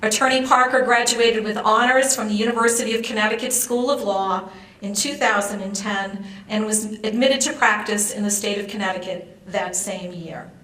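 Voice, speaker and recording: An American woman giving a formal ceremonial introduction as a speaker, measured and courteous in manner. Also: mid-range voice, fairly even intonation, a bit bright. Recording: roomy echo, distant microphone.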